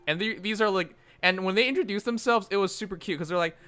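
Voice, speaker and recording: A young man streams in an animated, rambling way, quick to laugh as he talks. His voice is bright and sits a little high. Faint music plays behind him. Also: clean tone. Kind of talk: casual stream chat.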